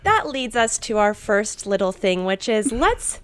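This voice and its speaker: A woman in her thirties from southern Idaho, co-hosting a casual chat in an unhurried, giggly way. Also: medium pitch, very animated. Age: 30s. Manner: unhurried, giggly, loses count mid-sentence. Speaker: co-host, knitwear designer. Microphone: video call.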